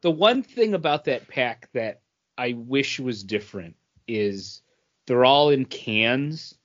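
A man with a medium-pitched voice talks casually as a podcast co-host. He offers opinions and qualifies them as he goes. The audio is a little lo-fi and muffled. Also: expressive, slow, fairly bright tone. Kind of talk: casual beer review and chat. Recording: dull, band-limited audio.

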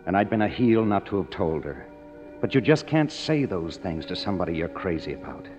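Cynical young writer: deep, lively, hard-boiled radio drama delivery. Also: male voice, fairly quick. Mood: brooding, wry. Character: cynical, self-reproaching young screenwriter man. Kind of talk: 1950s radio drama, first-person narration and dialogue. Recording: muffled old radio broadcast with music.

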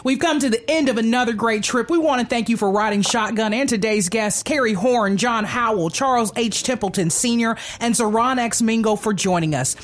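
A woman's voice: fairly high pitch, a little fast, hardly any pauses. A woman hosting a radio show in a conversational, effusive, affirming way.

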